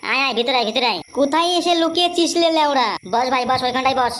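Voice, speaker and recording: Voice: high-pitched, rapid-fire, a bit bright, slight background noise. A performer voicing a brash, hot-tempered village man in a comic cartoon dub, cursing through banter. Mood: brash, irritated.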